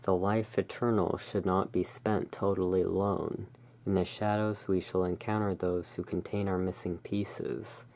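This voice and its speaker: Someone playing a slow, low, solemn gothic shopkeeper. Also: flat delivery, a little mellow. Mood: solemn, romantic. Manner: solemn, portentous reading of card meanings. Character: owner of a dark gothic curiosity emporium, welcoming, theatrical. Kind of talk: ASMR tarot roleplay.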